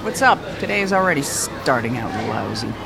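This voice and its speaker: A woman's voice: very deep voice, very animated, brisk, gravelly, over music, full character voice. A woman acting in an audio drama as a brusque, sarcastic, hot-tempered bus company boss. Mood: grumpy.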